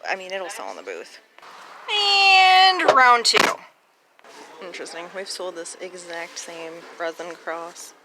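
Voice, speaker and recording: A woman's voice: lowish voice, very animated, slow, heavy background noise. A woman chatting casually and informally as a reseller vlogger, with mild slang.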